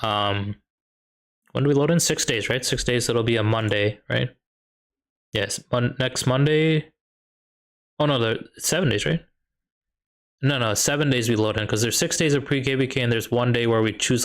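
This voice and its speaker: A young American man with a light Chicago accent streams a strategy game, talking in a relaxed, mid-pitched voice. He muses half to himself and reads out viewer questions as they come in. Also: moderate pace, pauses often. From United States. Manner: thinks aloud, reads and answers chat questions. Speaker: streamer and high school math teacher. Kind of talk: casual live-stream chat while gaming.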